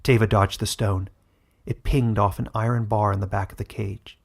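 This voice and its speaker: A man's voice: on the low side, little pitch movement, moderate pace. A man narrating a fantasy story in a dramatic, scripted audiobook style.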